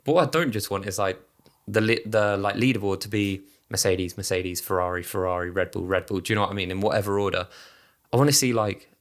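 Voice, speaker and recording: A man's voice: fairly low pitch, quick pace. A man co-hosting a podcast, chatting casually with lots of fillers and restarts. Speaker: podcast co-host. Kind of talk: casual chat about racing.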